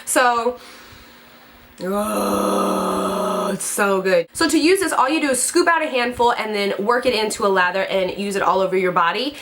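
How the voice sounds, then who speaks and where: A woman's voice: medium pitch, moderate pace, a bit bright. A young woman in her twenties chatting casually as a beauty vlogger, gushing and bubbly, a little congested from a head cold. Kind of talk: casual chat to camera.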